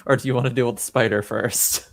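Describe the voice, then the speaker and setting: A man's voice: mid-range voice, fairly even intonation, fast, creaky, faint music behind. A man acting as game master, improvising narration and chatting casually, feeling out his phrases hesitantly.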